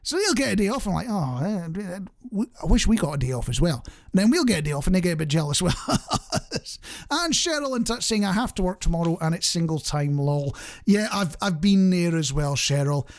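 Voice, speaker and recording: A man's voice: high-pitched, very animated, brisk. A man with a British accent presenting a radio show in a casual, rambling, conversational and self-deprecating way.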